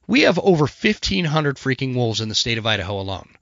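A man hosting a podcast, hoarse from a cold, talking heatedly and swearing in casual chat. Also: mid-range voice, sing-song, quick pace, fairly bright tone, no echo. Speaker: podcast host. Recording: dry, muffled podcast audio.

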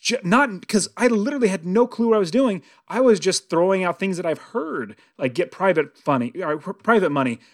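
Higher-pitched American man, podcast host. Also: lively intonation, fairly quick, hardly any pauses. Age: early 40s. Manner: conversational, emphatic, repeats phrases for stress. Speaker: podcast host and real estate investing coach. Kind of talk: motivational podcast monologue.

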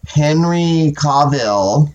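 A man hosting a podcast and chatting casually in a sassy, animated way, swearing often and sounding stuffy from a cold. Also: a little high, drawn-out words, lo-fi sound. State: stuffy with a cold, sore throat. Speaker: podcast host. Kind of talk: casual chat.

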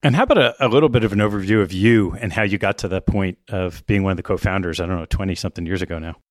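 A man hosting a podcast, reading a measured scripted introduction and then asking his guest questions. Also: deep voice, no room sound, studio quality. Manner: measured, lively intonation. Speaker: podcast host.

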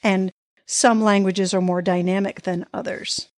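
A woman's voice: mid-range voice, flat delivery, measured pace, no echo. A woman born in the United States hosting an interview, enthusiastic and affirming, asking long, rambling questions. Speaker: interview host. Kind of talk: casual interview conversation.

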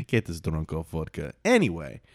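Lowish guy hosting a podcast, rambly and chill, dry close sound. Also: huge pitch swings, slow, a little mellow, dry recording. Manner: laid-back, rambling with fillers and frequent laughs. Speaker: podcast host. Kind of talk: casual chat.

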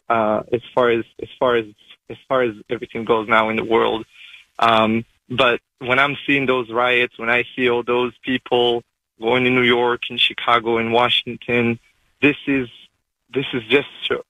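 A man, calling in to a radio show and speaking fast and emotionally, with many halting restarts. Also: medium pitch, pushed and bright, no echo, poor audio. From Israel. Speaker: caller. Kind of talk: call-in testimony.

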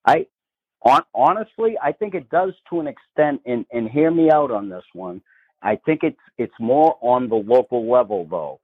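A middle-aged man with a Boston accent speaks bluntly over a thin, tinny phone line. He talks emphatically and often restarts his sentences as a guest calling in to a radio show. His voice sits at an ordinary pitch. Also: expressive, normal speed, slightly rough. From Massachusetts, north of Boston. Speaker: guest, state politician.

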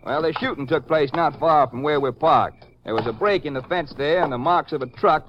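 Actor as plainspoken, higher-voiced trail Ranger. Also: male voice, brisk, close to an everyday voice. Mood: earnest. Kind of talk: 1950s western radio drama acting. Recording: muffled vintage radio recording, faint noise.

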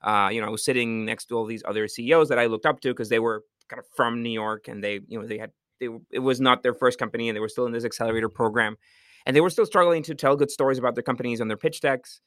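A man's voice: mid-range voice, fast talker, fairly bright tone, no echo, studio quality. A man from Costa Rica, a startup founder, answering interview questions in a rambling, self-correcting way and laughing often.

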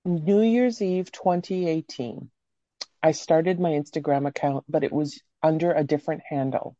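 Low, dark-voiced candid woman. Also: moderate pace, no room sound, dull audio. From Canada. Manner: candid, rambling storyteller with casual swearing. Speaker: podcast guest. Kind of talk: casual interview chat.

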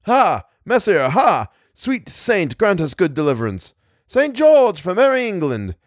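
A man's voice: high-pitched, wildly swooping pitch, measured pace, dry recording, clean studio sound, voice pushed up, heavily acted. A man voicing a ghostly host of medieval English bowmen in a storybook, calling out fervent, archaic battle prayers. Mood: exultant, imploring. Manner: fervent archaic war cries. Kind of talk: dramatised storybook reading.

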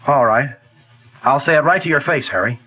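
An actor playing a blunt, gossipy band leader in a 1940s radio drama. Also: male voice, mid-range voice, normal speed, dull audio, close to an everyday voice. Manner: blunt, tattling.

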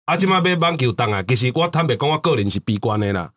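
A man's voice: mid-range voice, expressive, fast talker, fairly bright tone. A man with a Taiwanese accent chatting casually on a podcast, often repeating words and phrases as he explains.

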